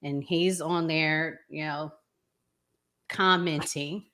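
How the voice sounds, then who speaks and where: A middle-aged woman hosting a casual live discussion in a deliberate, halting way. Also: low-pitched, steady tone, a bit bright. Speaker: talk show host.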